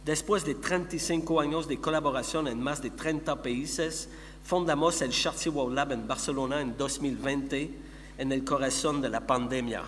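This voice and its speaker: A middle-aged man from Montreal, a native French speaker with a Quebec French accent, gives a conference presentation in a second language, haltingly searching for words. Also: medium pitch, fairly even intonation, fairly bright tone. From Montreal, Canada. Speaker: conference speaker, company founder and researcher. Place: conference hall. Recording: echoey hall with long reverb, background hum.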